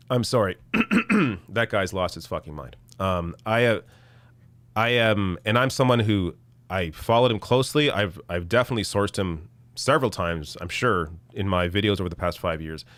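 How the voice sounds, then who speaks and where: A man in his thirties, hoarse from losing his voice, hosting a casual live political stream with blunt, profane commentary and frequent restarts. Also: fairly low pitch, lively intonation, moderate pace, a bit bright, no room sound. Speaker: political show host reading viewer chat.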